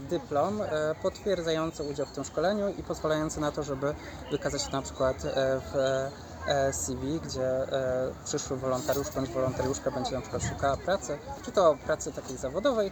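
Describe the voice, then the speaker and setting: A man giving a spontaneous interview answer in halting, repetitive, careful official phrasing. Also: mid-range voice. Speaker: city office representative, interviewee. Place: busy outdoor fair. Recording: outdoor event with crowd noise.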